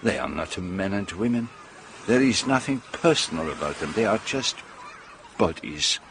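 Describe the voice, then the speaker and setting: A fastidious gentleman detective in a radio drama, speaks in a lowish male voice with a French accent. His delivery is formal, precise and theatrical, swinging widely in pitch over faint background noise. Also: lively intonation, gravelly. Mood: formal, musing. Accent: French-speaking European. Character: fastidious, courteous middle-aged foreign gentleman detective. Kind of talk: radio drama acting and narration.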